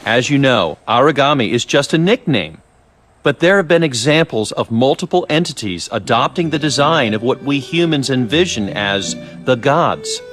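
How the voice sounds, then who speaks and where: An actor playing a genial, sly middle-aged scientist in a video game, talking in a smooth, professorial, theatrical way. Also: male voice, mid-range voice, animated, moderate pace, a bit bright, faint music behind. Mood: lecturing, intrigued. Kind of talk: video game cutscene acting, expository dialogue.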